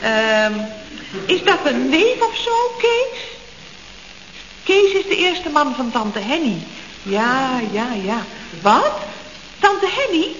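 An old, echoey radio comedy recording with some hiss carries a high-voiced, chatty secretary. She is scatterbrained and questioning, and her intonation swings widely. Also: sing-song, slow, long pauses, fairly bright tone, full character voice. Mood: chatty, puzzled. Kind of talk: Dutch radio comedy acting, around 1970. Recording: roomy, dull, hissy old radio recording.

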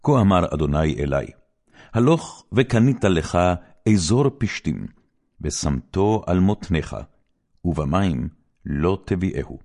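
Deep-voiced man reading scripture solemnly. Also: expressive, a little mellow. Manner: solemn, measured declamation with clear phrasing. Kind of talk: formal scripted Bible reading.

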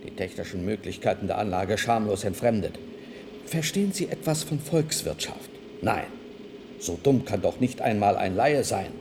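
A man's voice: on the low side, expressive, moderate pace. A man acting in a German radio play as a proud, indignant inventor-engineer with an emphatic, accusatory delivery. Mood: indignant, scornful. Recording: noisy archival radio drama recording.

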